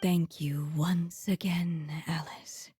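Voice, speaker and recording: A woman voicing a serene, motherly storyteller witch in her final moments in a fantasy game, soft, breathy and solemn. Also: lowish voice, fairly even intonation, slow pace, full character voice. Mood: weak, wistful.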